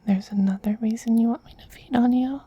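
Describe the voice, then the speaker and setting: Man as a whispering, breathy, doting vampire queen. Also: very high-pitched, steady tone, mellow, dry recording, lo-fi sound. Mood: tender, gently teasing. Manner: slow, tender, coaxing endearments. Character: soothing, possessive, doting femboy vampire queen. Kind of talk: soft-spoken whispered ASMR roleplay.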